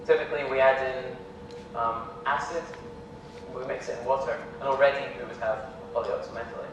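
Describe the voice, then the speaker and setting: A young Scottish man giving an academic lecture in a relaxed, hesitant way, full of ums. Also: normal speed. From Scotland. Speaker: visiting lecturer. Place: lecture hall. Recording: echoey hall from far off, with rumble.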